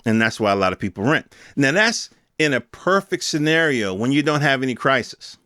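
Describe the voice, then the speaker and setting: A man's voice: mid-range voice, lively intonation, a little fast, sharp tone, no room sound, studio quality. A middle-aged American man hosting a podcast, talking in a casual, rambling, streetwise and opinionated way. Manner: informal, streetwise, opinionated, emphatic with swearing. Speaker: podcast host. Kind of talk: casual rambling monologue commentary.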